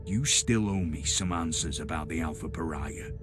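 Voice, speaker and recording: An actor playing a stern, suspicious male inquisitor in a video game, speaking in a terse, authoritative, measured way. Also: a bass voice. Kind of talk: dramatic video game cutscene acting. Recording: music behind the voice.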